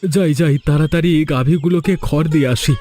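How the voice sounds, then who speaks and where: A man's voice: a little high, fairly quick, mellow, lightly acted. A man voicing a humble, anxious, poor farmhand father in a fairy tale cartoon, speaking deferentially and pleadingly. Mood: furtive, troubled. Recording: music behind the voice.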